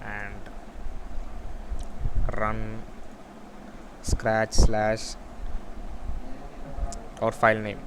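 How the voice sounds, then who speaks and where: A man giving an informal software demo walkthrough, explaining in a halting, repetitive way. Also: lowish voice. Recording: clear steady background noise.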